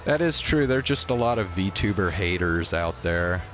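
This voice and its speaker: A man's voice: low-pitched, animated, normal speed, a bit bright, faint music behind. A man casually commentating on a live game stream in a blunt, profanity-laced way, speaking off the cuff. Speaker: livestreamer.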